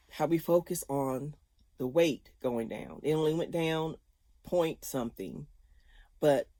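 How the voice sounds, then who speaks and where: A woman's voice: deep voice, warm tone, no room sound. A woman in her fifties talking casually to her viewers, chatty and rambling, often laughing. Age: 50s. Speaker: vlogger.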